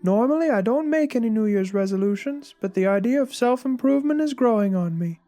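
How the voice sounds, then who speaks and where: Woman, high-ish voice, dramatic journal narration, soft backing music. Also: animated, fairly quick. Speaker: reader in a dramatic reading of a fictional blog. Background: background music.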